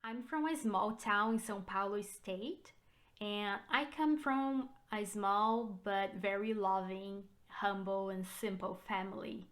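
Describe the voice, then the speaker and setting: A woman from Brazil in her thirties with a Brazilian Portuguese accent, her first language being Portuguese, hosting a personal vlog and telling her story in an unhurried, reflective way. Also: medium pitch, fairly bright tone. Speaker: realtor and channel host. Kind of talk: personal vlog talk to camera.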